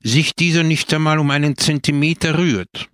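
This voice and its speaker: A blustering village mayor and political agitator, as a male narrator plays him in a storybook. He is hot-tempered and bullying, declaiming loudly with his voice pushed up. The sound is dry. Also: on the high side, normal speed, smooth, dry recording, pitched above the performer's own voice, close to an everyday voice. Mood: threatening.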